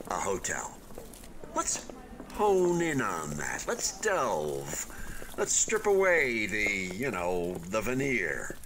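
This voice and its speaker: Male actor as an unfinished hotel building, radio drama, slow-paced, wistful, earnest, hesitant musing, faint background noise. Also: medium pitch, bright, forceful tone, heavily acted. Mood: earnest, defensive. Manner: chatty, hesitant, earnestly philosophical. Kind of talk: radio play acting, conversational monologue.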